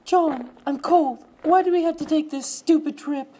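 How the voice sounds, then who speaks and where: A woman's voice: high voice, normal speed, mellow, a little hiss or hum, close to an everyday voice. A woman playing an anxious, complaining wife in an amateur student radio play. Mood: whining. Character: Katie, complaining, anxious wife who is a nurse.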